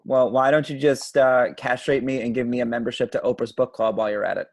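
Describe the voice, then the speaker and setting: A man's voice: mid-range voice, little pitch movement, fast talker, no echo, studio quality, lightly acted. A man playing a boyish, sarcastic husband of twenty-nine, with a casual manner, in a table read of a film script. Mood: bantering.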